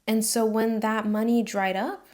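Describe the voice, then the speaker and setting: A woman's voice: a little high, flat delivery, measured pace, a little mellow. A woman in her twenties with an American accent, a freelance designer talking candidly to camera in a casual vlog, with slangy asides. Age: 20s.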